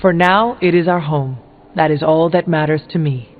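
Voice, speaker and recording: A man's voice: on the high side, normal speed, a little hiss or hum, close to an everyday voice. A man playing a solemn, stoic young demon-hunting warrior in a video game, speaking earnestly and formally. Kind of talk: scripted video game acting. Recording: thin phone-like band, music behind.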